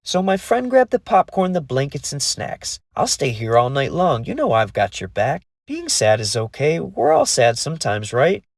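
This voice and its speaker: A man's voice: moderate pace, vocal fry, hardly any pauses, no room sound, exaggerated voice. A man voicing a cheerful, caring young female fairy in a rhyming storybook, in a bubbly, raised voice. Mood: comforting. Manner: bubbly, excited, high-pitched. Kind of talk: storybook read-along voice.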